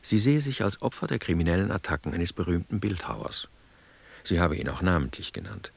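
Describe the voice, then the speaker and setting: An actor playing a dry, impersonal asylum official who reports on a patient in a formal, matter-of-fact way, with a creaky voice, in a radio drama. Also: male voice, low voice, big pitch swings, a little fast, exaggerated voice.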